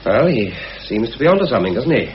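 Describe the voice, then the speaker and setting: Sceptical gentlemanly British conspirator, played by a male actor, animated pitch, radio drama with faint hiss. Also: quick pace, lightly acted. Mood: matter-of-fact. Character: worried, sceptical male confidant and fellow conspirator. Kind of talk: radio play acting. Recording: muffled, hissy old radio recording.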